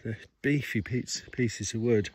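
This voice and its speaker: A man giving a relaxed, rambling outdoor tour of his smallholding, filmed on a handheld phone. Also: mid-range voice, a little slow, slightly rough, no room sound. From Scotland. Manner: relaxed, rambling, with restarts and fillers. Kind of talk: casual walking tour chat. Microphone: handheld phone camera.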